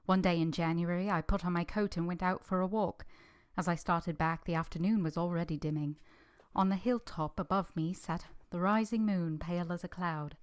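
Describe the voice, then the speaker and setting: A woman playing an earnest, modest young governess in a vintage-style radio drama, measured, polite and literary in delivery. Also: fairly low pitch, fairly even intonation, smooth, close to an everyday voice. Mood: reflective. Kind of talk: first-person narration in vintage radio drama.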